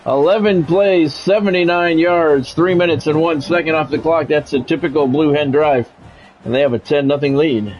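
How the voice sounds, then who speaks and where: A man's voice: fairly high pitch, moderate pace, muffled recording, faint music behind. A middle-aged American man doing play-by-play of a football video game on a livestream, mixing polished, clipped announcer calls with casual chat to viewers.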